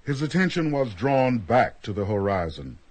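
A man with a husky voice narrating a scripted radio drama in a measured, dramatic storytelling manner. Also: medium pitch, big pitch swings, a little mellow, no echo.

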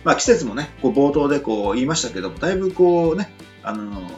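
Animated, joking male podcast host. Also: medium pitch, quick pace. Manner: joking, playful, rambling with hesitations. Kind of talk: casual chat, light banter. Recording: dull lo-fi audio with faint music.

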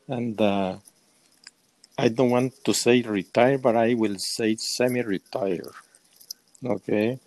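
Slow-paced older Salvadoran man. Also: medium pitch, a little mellow, dry recording. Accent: Salvadoran Spanish. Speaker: interview guest, semi-retired. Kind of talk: casual interview answers.

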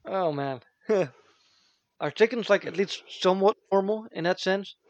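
A man's voice: high voice, a little slow, no echo. A man with a Dutch accent chatting casually, rambling through fillers and breaking into giggles. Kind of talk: casual chat. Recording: dull, dry lo-fi recording.

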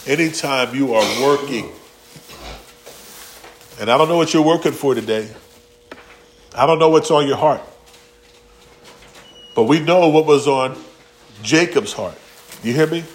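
Homem pregando com gírias descontraídas. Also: male voice, a little high, a little hiss or hum. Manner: casual, slangy, acts out quotes. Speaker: pastor. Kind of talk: conversational sermon.

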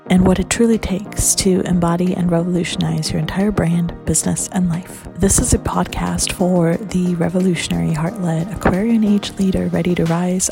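A woman's voice: lowish voice, gravelly. A woman hosting a podcast, speaking in an enthusiastic, uplifting, motivational way. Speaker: podcast host. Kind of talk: scripted podcast intro, then casual interview chat.

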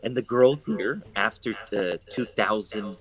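Man, lowish voice, casual interview talk, rambling with lots of fillers and restarts. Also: expressive, a little slow, a bit bright, rough recording. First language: English. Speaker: real estate broker guest.

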